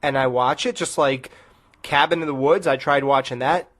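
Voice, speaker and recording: A man's voice: mid-range voice, normal speed, a bit bright. A man hosting a podcast, chatting casually about movies in a rambling way. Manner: rambling, laughing, trailing off. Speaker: podcast co-host.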